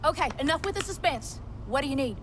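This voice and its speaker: A woman's voice: sits high, animated, fast talker. A woman playing a sassy, impatient young mechanic in a video game, brassy and teasing. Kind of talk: video game cutscene acting. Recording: vehicle noise behind.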